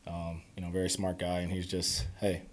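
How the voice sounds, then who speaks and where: A young man in his twenties answering reporters at a postgame press conference, calm and measured with many "you know"s, speaking into podium microphones. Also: low-pitched. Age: 20s. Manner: calm, measured, filler-heavy replies. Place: press conference room before a sponsor backdrop.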